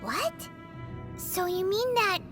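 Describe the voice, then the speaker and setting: A tiny childlike fairy sidekick from an anime-style game, squeaky and extremely high. She is blunt and excitable, with very animated intonation and an unhurried pace, over loud music. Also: exaggerated voice. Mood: puzzled. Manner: squeaky, exclamatory, refers to herself by name.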